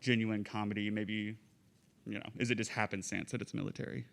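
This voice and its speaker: A man with a somewhat low voice puts a question from the audience. He is casual and a little tentative, rambling as he shapes what he wants to ask. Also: level tone, a little fast, creaky, fairly bright tone. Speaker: audience member asking a question.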